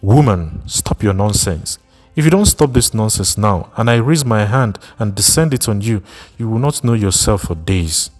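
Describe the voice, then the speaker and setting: A worried, gruff husband in a storybook reading, as a male narrator voices him, speaking in a low voice close to the narrator's own. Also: lively intonation, moderate pace, faint music behind. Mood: stern. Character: worried husband, stern with his wife.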